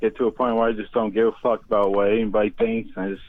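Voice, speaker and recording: Male caller, fairly low voice, narrow phone-line sound, casual conversation. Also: little pitch movement, fast talker. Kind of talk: casual chat. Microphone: phone call.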